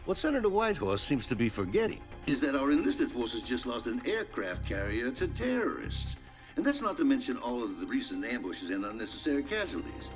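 A man voicing a pompous, middle-aged hawkish politician in a game cutscene, speaking in measured, emphatic oratory. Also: medium pitch, very animated, lightly acted. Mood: emphatic, persuasive. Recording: loud music behind.

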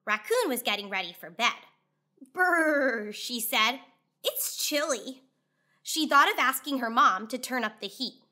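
High, bright woman reading a children's storybook. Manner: animated, clearly enunciated, deliberate delivery. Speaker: children's read-aloud host. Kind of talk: expressive storybook narration for children.

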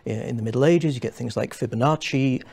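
A young man on a studio panel, explaining at length in a measured way with many restarts. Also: mid-range voice, vocal fry, darkish tone. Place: small studio set with armchairs.

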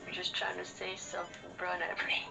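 A woman's voice: fairly low pitch, monotone, fast, vocal fry, poor audio. A woman co-hosting a gaming channel, chatting casually with teasing, slangy banter during a let's play. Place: indoors, in front of a TV. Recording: game music over the talk. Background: video game music.

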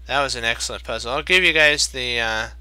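A man in his fifties streaming chess puzzles from home, thinking aloud to viewers, his voice getting croaky. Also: medium pitch, brisk, bright, forceful tone, no room sound. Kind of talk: live chess puzzle commentary.

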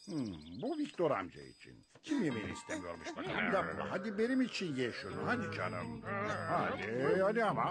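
An actor in a cartoon dub playing a muddled, excitable grown man, dotty and fussing, given to exclaiming and cooing. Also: on the high side, a little fast. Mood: scolding, coaxing. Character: muddled, excitable grown man who thinks he's a bird. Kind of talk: animated cartoon dub acting. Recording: loud music behind the voice.

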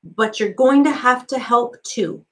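Woman reading as an upbeat, higher-pitched male camp counselor. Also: unhurried, fairly bright tone. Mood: encouraging. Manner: encouraging, emphatic.

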